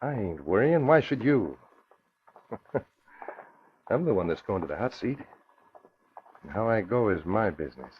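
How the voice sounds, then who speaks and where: An actor playing a cocky, sneering escaped convict in old radio crime drama style, with tough slangy talk and chuckles. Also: male voice, fairly low pitch, sing-song, a little slow, long pauses. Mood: defiant, wisecracking. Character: cocky hard-boiled escaped convict gangster, sneering and vengeful. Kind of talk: 1940s radio acting. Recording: muffled, hissy old radio recording.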